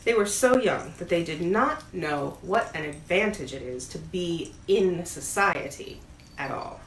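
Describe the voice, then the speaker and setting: A woman's voice: on the low side, animated, measured pace. A woman reading a storybook aloud as a bedtime story, expressively and with playful asides. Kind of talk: storybook read-aloud to a pet dog. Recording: roomy echo, audible background noise.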